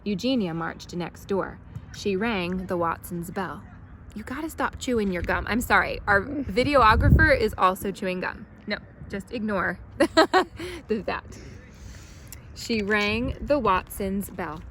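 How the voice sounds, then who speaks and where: A woman's voice: mid-range voice, expressive, a little slow, noisy background. A woman reading a children's storybook aloud as a storytime reader.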